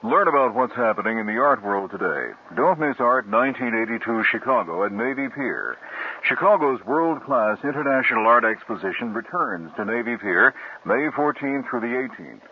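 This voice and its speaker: A man's voice: medium pitch, animated, slight background noise. Male radio ad announcer. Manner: brisk promotional. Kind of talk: radio advertisement read. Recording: old lo-fi radio broadcast.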